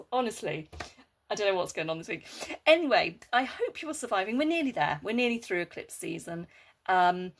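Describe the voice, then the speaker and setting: A woman with a hoarse, nearly lost voice from a sore throat, chatting casually in a meandering vlog and reading moon guidebooks aloud. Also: fairly low pitch. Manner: chatty, meandering, with frequent fillers and asides. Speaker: Reiki practitioner and moon vlogger.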